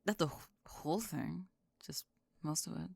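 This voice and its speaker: Woman acting a low, creaky, deadpan teenage girl. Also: unhurried, no room sound, studio quality, exaggerated voice. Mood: dry, matter-of-fact. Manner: deadpan, terse. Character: deadpan, watchful, literal-minded high school girl. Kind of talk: ASMR roleplay acting.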